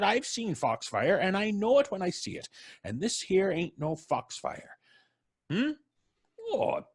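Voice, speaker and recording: A man's voice: fairly high pitch, slightly rough, voice pushed up, full character voice. A man voicing a rambling, superstitious old country man in old time radio drama style, folksy and garrulous with muttered hesitations. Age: older.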